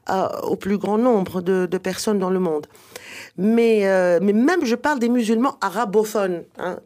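A woman's voice: medium pitch, a little mellow. A woman whose first language is Arabic, a guest author explaining at length in a radio interview with many fillers and restarts.

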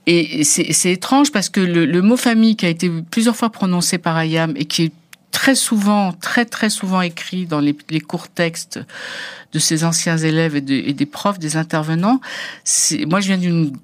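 A woman's voice: lowish voice, moderate pace. A middle-aged woman photographer from North Africa, a native Arabic speaker, telling her story as a guest with many hesitations and restarts. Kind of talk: personal reflective interview talk.

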